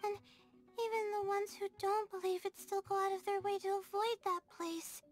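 A woman's voice: very high voice, flat delivery, moderate pace. A woman voicing an anxious, sleep-deprived young student in a video game, sweet and timid, with a hesitant, stammering delivery. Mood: defensive. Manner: hesitant, stammering, self-doubting. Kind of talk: video game character dialogue. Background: soft game music.

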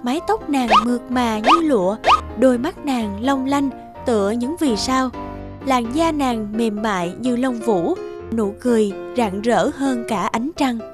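A woman narrating a scripted fairy tale for children in a measured storytelling manner. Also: a little high, over loud music.

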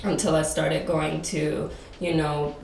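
A woman's voice: low voice, little pitch movement, takes it slowly, darkish tone, recorded from a distance. A woman in her twenties from Japan, a native Japanese speaker, chatting casually as a guest. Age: 20s. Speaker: podcast guest, performer. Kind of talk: casual chat. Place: indoor bar with brick walls. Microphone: condenser mic on table, a little distant. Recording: slightly noisy, echoey room, off mic.

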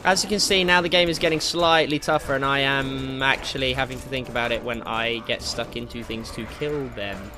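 A man giving casual, excitable commentary while playing a video game, jokey and slangy, with exclamations and swearing. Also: mid-range voice, brisk, few pauses. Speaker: gaming video host. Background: game music.

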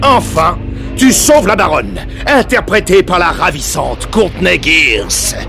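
A hammy, bossy movie director. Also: male voice, sits high, big pitch swings, slow pace, creaky, exaggerated voice. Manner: bossy, theatrical. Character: bossy hammy male film director, a bit of a diva. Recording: game audio with music bed.

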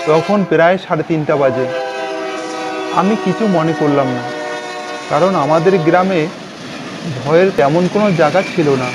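A man's voice: fairly high pitch, background noise. A man narrating a scripted ghost story in the first person. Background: background music.

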